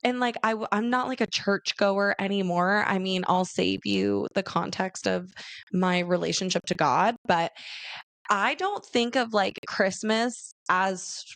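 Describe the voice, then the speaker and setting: A raspy young woman from Arizona hosting a casual solo podcast, chatty and laughing often as she talks to her listeners. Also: medium pitch, a little slow, fairly bright tone, no room sound. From Arizona, USA. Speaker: podcast host.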